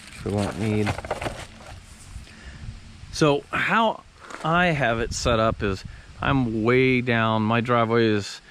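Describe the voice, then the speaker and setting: A man giving a casual, plainspoken hands-on walkthrough, explaining things step by step as he reviews and installs a product. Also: medium pitch. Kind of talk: casual hands-on how-to narration. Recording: clear background noise, ambient sound.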